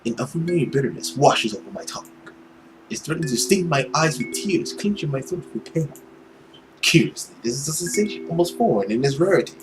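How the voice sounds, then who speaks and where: A man voicing a brooding, long-lived, loveless man, narrating a visual novel in a grave, dramatic and measured way. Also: on the high side, very animated, music in the background, poor audio. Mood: wistful, brooding.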